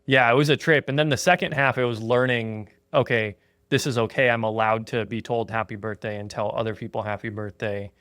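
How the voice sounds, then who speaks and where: A man's voice: mid-range voice, fairly even intonation, brisk, fairly bright tone, dry recording, studio quality. A man in his thirties hosting a podcast over a remote call, easygoing and earnest, with frequent "um" and "yeah". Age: 30s. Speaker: podcast host. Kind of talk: casual podcast chat.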